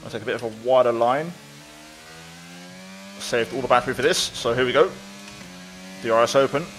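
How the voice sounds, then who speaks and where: A man's voice: medium pitch, moderate pace, a bit bright, a little hiss or hum. A man giving casual, running commentary as he plays a racing game. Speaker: gaming video creator.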